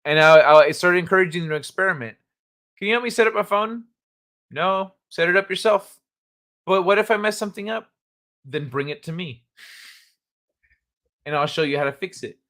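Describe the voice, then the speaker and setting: A man hosts a casual live stream in a slightly higher than usual voice. He rambles and jokes loosely, swears freely and laughs often. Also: moderate pace, pauses often, a bit bright. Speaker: livestream host. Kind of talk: casual live-chat talk.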